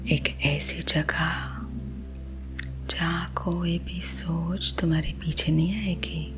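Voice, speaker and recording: A woman with an Indian accent guiding sleep hypnosis in a husky, breathy ASMR whisper, gentle and soothing. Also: lowish voice, flat delivery. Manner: slow, soothing, gentle, hushed. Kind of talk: whispered ASMR guided visualisation. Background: soothing music.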